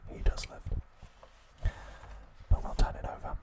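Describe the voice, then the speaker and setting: Male ASMR host, whispered voice, unhurried delivery, short phrases, frequent pauses. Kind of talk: ASMR, whispered trivia talk and reading aloud.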